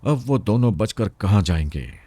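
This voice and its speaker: A male storyteller plays a bold bandit chief from a suspense story, sneering and giving orders. The voice is low and dark, recorded close and dry, and full of mocking menace. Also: expressive, moderate pace, dry recording. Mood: gloating, commanding.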